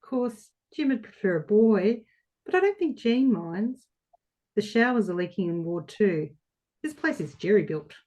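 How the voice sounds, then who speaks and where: A woman's voice: medium pitch, big pitch swings, normal speed, mellow, close to an everyday voice. A woman playing a chatty, unbothered receptionist in a play reading, colloquial and matter-of-fact. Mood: chatty, indifferent. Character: chatty, casual, unbothered Australian female receptionist.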